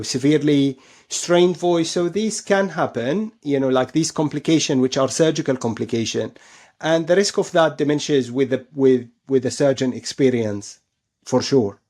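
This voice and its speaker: A man's voice: medium pitch, darkish tone. A man speaking as an expert surgeon guest, explaining things in an interview, often repeating and rephrasing himself for emphasis.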